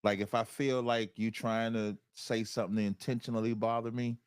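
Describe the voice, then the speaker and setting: A man's voice: fairly low pitch, level tone, brisk, clear, resonant tone, dry recording, studio quality. An American man speaking as a guest in a conversational debate, assertive and explanatory. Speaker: debate guest, religious group captain.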